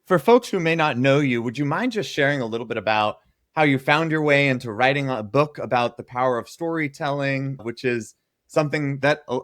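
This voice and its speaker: A man's voice: a little high, fairly bright tone, no echo, clean studio sound. A man co-hosting an interview podcast, chatty and enthusiastic as he introduces and questions his guest. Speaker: podcast co-host.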